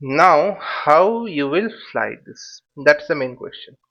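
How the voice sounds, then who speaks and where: A man giving an informal tutorial in a halting, step-by-step way, repeating phrases and checking with short questions. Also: a little high, lively intonation, bright, forceful tone, dull audio. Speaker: flight simulation instructor and presenter.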